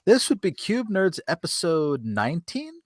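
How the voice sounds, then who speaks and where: A man's voice: high-pitched, very animated, moderate pace, no room sound. A man hosting a podcast in casual banter, chatty, informal and playfully argumentative, close on a desk microphone. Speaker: podcast host. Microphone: close USB desk microphone on an arm with pop filter.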